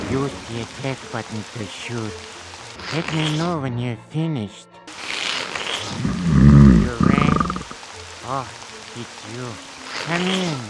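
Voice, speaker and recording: Gloomy hunched butler, very slow, loud music behind. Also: male voice, mid-range voice, rough recording. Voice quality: slow, solemn, ominous.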